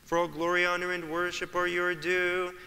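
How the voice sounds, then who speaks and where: A man's voice: high voice, completely flat delivery, moderate pace, bright, forceful tone. Solemn man intoning a church litany. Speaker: clergyman leading litany. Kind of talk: chanted liturgical litany. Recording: church reverb, faint ambience.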